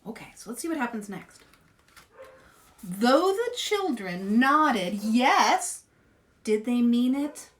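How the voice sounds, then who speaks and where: A woman hosting story-time for children, reading aloud in a playful, expressive way and chatting with young viewers. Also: fairly high pitch, slow, long pauses, far from the mic. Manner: playful, expressive, asks listeners questions.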